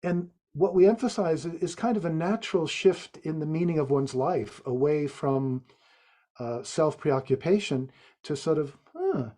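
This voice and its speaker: A man with a mellow, warm tone talks through Zen ideas as a podcast guest. He speaks thoughtfully and pauses to restart his phrases as he works out each point. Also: a little high, animated. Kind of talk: reflective interview talk.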